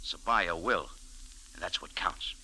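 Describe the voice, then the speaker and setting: Sharp, hardboiled American adventurer. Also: male voice, low-pitched, unhurried, breathy edge, exaggerated voice, rough recording. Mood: hard, confident. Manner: hardboiled, clipped, sardonic. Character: tough, wisecracking American café owner turned adventurer. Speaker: lead actor and narrator. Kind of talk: old-time radio detective acting and narration.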